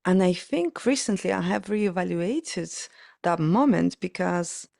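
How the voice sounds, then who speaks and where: A woman's voice: fairly low pitch, expressive, measured pace, creaky, darkish tone, no room sound, studio quality. A woman in her forties with a Ukrainian accent, speaking reflectively and telling stories as an interview guest. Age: 40s. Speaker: podcast guest, pianist and performance coach.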